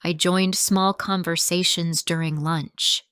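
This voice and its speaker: A woman reading a simple first-person story for English learners, in slow, clearly spoken sentences. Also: lowish voice, fairly even intonation, fairly bright tone, no room sound, clean studio sound.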